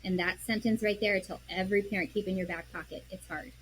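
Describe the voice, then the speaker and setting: A woman guest on an interview show, earnestly explaining how parents can respond calmly, often acting out what she would say to her child. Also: mid-range voice, fast, slight background noise, rough recording. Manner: earnest, explains with examples and quoted self-talk; drops to a soft, quiet voice when modeling calm. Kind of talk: conversational interview.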